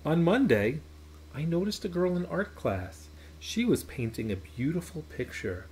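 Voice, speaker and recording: Animated man reading a children's storybook. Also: fairly high pitch, unhurried, slight background noise. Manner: expressive, emphatic. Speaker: picture-book reader. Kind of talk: children's storybook read-aloud. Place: small indoor playroom.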